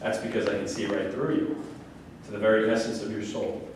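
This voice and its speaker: A man's voice: on the low side, flat delivery, fairly quick, a little mellow, close to an everyday voice. An actor playing a delusional, manipulative murderer obsessed with a woman, declaiming in a grandiose, preachy way in a stage play reading. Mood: seductive, intense. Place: large room at a staged reading. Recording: very echoey room, far mic, faint noise.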